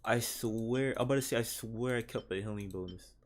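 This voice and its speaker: A man's voice: mid-range voice, a little fast. A man giving casual gameplay commentary, talking to himself in slang. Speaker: gaming streamer. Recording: game music behind the voice. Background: video game music.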